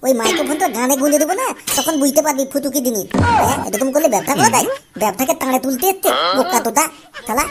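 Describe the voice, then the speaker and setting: A performer voicing a hot-tempered, boastful young male street food seller in a comic cartoon, with a squeaky, lisping, childlike voice and a scolding manner. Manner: ranting, scolding. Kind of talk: comic Bengali cartoon dialogue. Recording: loud music behind the voice. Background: music.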